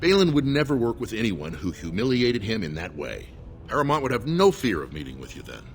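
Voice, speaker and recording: Deep-voiced formal dwarf noble. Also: male voice, big pitch swings, slight background noise. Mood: firm, courteous. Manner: measured, formal, diplomatic. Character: formal male dwarf noble's second-in-command, guarded, courteous. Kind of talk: scripted video game dialogue.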